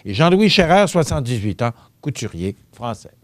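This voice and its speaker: A man's voice: medium pitch, moderate pace. A man with a Québécois French accent hosting a local TV morning show, reading birthdays and interviewing a guest in a store kitchen. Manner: lively, stumbles over names and jokes about it.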